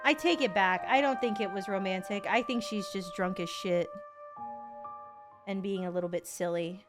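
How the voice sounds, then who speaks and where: A woman's voice: medium pitch, moderate pace, over music. A woman streamer giving casual live commentary on a game in a playful, candid, sweary way.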